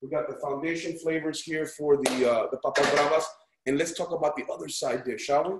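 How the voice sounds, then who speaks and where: A man's voice: fairly high pitch, steady tone, a little fast. A man hosting a casual cooking class, chatty and encouraging, often checking in with his listeners as he demonstrates. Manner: upbeat, encouraging, chatty with frequent check-ins like "right?" and "okay?". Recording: echoey room, background noise.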